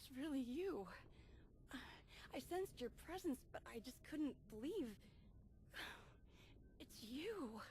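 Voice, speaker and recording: An actress playing an earnest, devoted young Jedi in a video game, speaking sincerely and openly. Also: female voice, high-pitched, lively intonation, slow pace, long pauses, warm tone, slight background noise. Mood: amazed. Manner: sincere, emotionally open. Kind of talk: video game cutscene acting.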